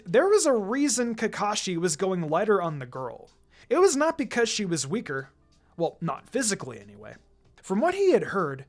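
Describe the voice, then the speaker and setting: A narrator reading fan fiction aloud in an expressive, scripted storytelling style. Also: medium pitch. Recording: background music under the voice. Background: music.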